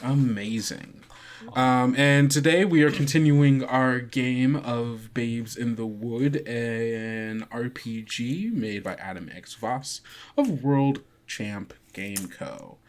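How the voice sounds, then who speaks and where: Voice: mid-range voice, slow pace. A game master hosting a tabletop podcast, chatting casually with an informal, joking way of talking.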